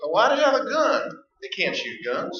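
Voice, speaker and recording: A man's voice: high-pitched, huge pitch swings, fairly quick, pushed and bright, muffled recording, full character voice. An actor playing a proud, easygoing country father in a posse, in a staged radio play. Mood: puzzled. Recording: echoey stage, distant mic, audience noise.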